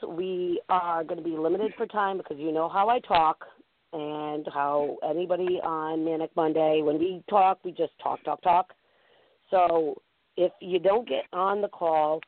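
A woman hosting a live internet talk show, with a deep, raspy voice gone hoarse, rambling excitedly and talking to her chat room. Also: no room sound. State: hoarse from a concert. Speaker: podcast host. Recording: tinny phone-line audio.

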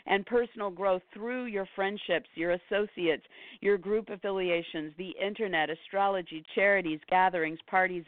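A woman astrologer runs through the week's signs in a hoarse voice, fading as she goes. She strings long lists together with ums and brief laughs. The sound is dry and thin, like a phone line. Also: mid-range voice, no room sound. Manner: rapid listing, with fillers and short laughs. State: hoarse, losing her voice. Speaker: astrologer host. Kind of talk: weekly horoscope talk.

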